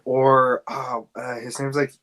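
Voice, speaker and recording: A man's voice: medium pitch, little pitch movement, slow pace, fairly bright tone. Hesitant male podcast co-host. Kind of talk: casual podcast chat about fan casting.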